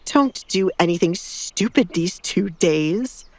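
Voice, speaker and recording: A woman's voice: on the low side, lively intonation, slow, no room sound, full character voice. A woman voicing a stern, mistrustful noble father who gives curt orders in an audio drama. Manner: curt, commanding.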